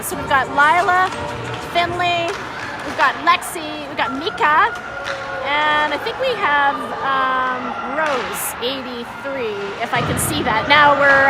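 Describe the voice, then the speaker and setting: High-voiced amateur female hockey commentator, noisy arena. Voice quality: slightly scratchy voice. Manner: halting, unsure, self-deprecating, conversational. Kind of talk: informal live hockey commentary. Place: indoor ice hockey arena. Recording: music and crowd noise behind, arena. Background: music and crowd.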